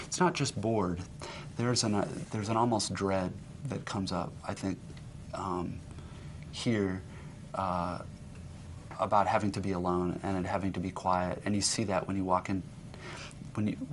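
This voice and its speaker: A man gives a reflective interview answer, hesitantly searching for his words. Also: lowish voice. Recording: faint background noise.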